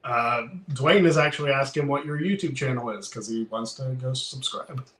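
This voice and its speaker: A man's voice: medium pitch, moderate pace, a bit bright, reverberant. A man hosting a podcast, chiming in briefly and relaying viewer comments in a laid-back way. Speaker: podcast host. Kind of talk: casual chat.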